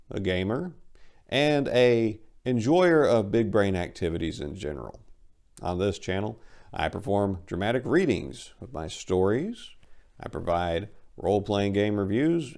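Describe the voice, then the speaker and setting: A man speaking as a channel host and writer, introducing things to listeners in an unhurried, theatrical way. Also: on the low side, creaky, darkish tone.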